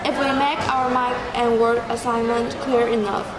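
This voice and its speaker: A woman's voice: on the high side, flat delivery, moderate pace. Young woman, prepared reflection, echoey room, very noisy recording. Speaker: student team member.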